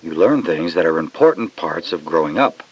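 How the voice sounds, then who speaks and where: A man's voice: sits low, animated, normal speed, slightly rough. A man reading scripted educational narration for children, instructive and speaking straight to the listener, on a lo-fi cassette. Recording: dull lo-fi cassette.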